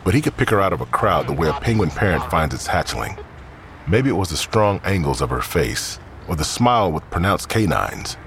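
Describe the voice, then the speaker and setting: A man's voice: low voice, sing-song, moderate pace, background noise. A man reading scripted crime fiction as the narrator.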